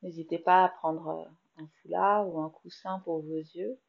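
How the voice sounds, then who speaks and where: Casting: French woman, meditation teacher, very slow calm delivery, slightly muffled lo-fi sound. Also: lowish voice, creaky. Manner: slow, soft, soothing guidance with long pauses. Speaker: yoga nidra teacher. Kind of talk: guided meditation.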